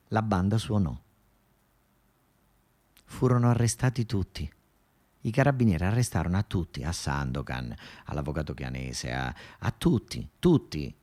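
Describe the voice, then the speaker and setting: A man's voice: fairly low pitch, darkish tone. A man with a southern Italian, Neapolitan-flavoured accent performing a theatrical monologue, telling the story in a dramatic, earthy way with repeated emphasis. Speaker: actor-storyteller. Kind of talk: theatrical monologue narration with dialect.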